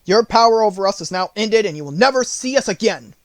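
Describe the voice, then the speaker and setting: A solemn chief of winged monkeys, voiced by a man in a storybook reading. He makes formal, firm pronouncements in a fairly high voice with no room sound around it. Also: animated, quick pace, dry recording. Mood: firm, defiant. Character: male leader of winged monkeys, solemn and defiant.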